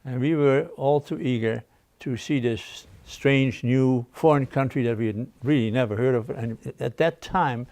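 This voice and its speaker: An older man born in the Dutch East Indies and raised in the Netherlands, a guest in a casual interview. He looks back on his past, halting now and then with fillers. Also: medium pitch, darkish tone.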